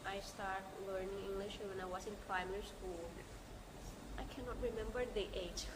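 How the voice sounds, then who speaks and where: A young Thai-accented woman recalls things in a casual discussion, pausing with fillers as she speaks English. Her pitch is middle for a woman. Clear background noise runs under the recording. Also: steady tone. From Thailand. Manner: halting, uses fillers while recalling. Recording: noisy room recording.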